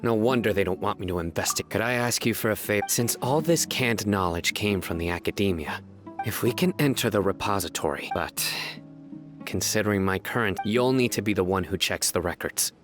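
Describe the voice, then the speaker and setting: Man acting deep-voiced game investigator, male, stern, dutiful, measured delivery, prominent music behind. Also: close to an everyday voice. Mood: businesslike. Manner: measured, serious, deliberate. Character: stern, duty-bound male investigator officer, young adult. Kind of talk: scripted game dialogue.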